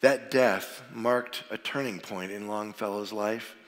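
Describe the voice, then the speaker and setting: A man's voice: on the low side, fairly even intonation, slow, a bit bright. Man with a cold preaching in a reverberant hall. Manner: informal, plainspoken, with frequent fillers. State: getting over a cold, a little froggy. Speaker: pastor. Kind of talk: sermon with prayer. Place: church sanctuary. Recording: long reverb of a large hall.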